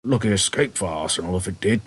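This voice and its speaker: A man's voice: deep voice, expressive, fast talker, breathy edge. A man voicing a dignified old male barman in an audiobook, deferential and chatty. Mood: puzzled, polite. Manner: deferential, chatty small talk.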